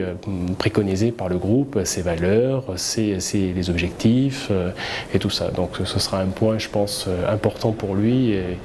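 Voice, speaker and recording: A man speaking as a workplace tutor about his apprentice, measured and hesitant with fillers. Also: lowish voice, darkish tone, slight background noise. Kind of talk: corporate video testimonial.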